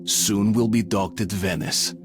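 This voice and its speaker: A man's voice: lowish voice, steady tone, unhurried, over music, lightly acted. A man playing a grave, duty-bound soldier who narrates his journey in a video game. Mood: grave, reflective. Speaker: protagonist narrator.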